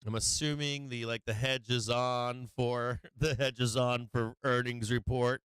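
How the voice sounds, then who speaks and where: A man's voice: mid-range voice, flat delivery, measured pace, fairly bright tone, clean tone, dry recording. Casual man hosting a trading stream. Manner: loose and profane, many fillers, laughs often. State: losing his voice. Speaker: stock market livestream host.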